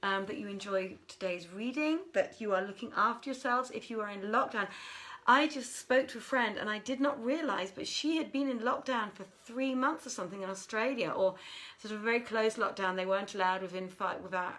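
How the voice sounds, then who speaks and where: A woman's voice: mid-range voice, fairly quick. A woman hosting a casual livestream at home, chatting and muttering to herself as she goes, with an apologetic manner. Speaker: host of a live online reading. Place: home room with desk and piano. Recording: livestream with music playing behind. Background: soft background music.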